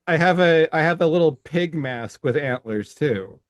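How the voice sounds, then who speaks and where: A middle-aged man co-hosting a casual podcast, speaking in halting phrases with many restarts and stammers. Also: fairly high pitch, animated, no room sound, muffled recording. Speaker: podcast co-host. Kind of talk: casual chat.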